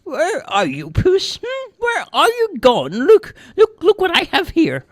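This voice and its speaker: A woman's voice: extremely high pitch, wildly swooping pitch, a little slow, no echo, clean studio sound, pitched above the performer's own voice, exaggerated voice. A woman playing a dotty, cantankerous old lady, rambling and querulous. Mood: calling, coaxing. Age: older.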